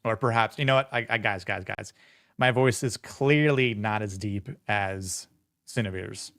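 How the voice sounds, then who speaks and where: A man with a low, smooth voice talks casually on a livestream, wandering through his thoughts with lots of fillers and restarts. The sound is dry and close, with no room around it. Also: measured pace, a bit bright, no echo. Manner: rambling, many fillers and restarts. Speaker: streamer and show host.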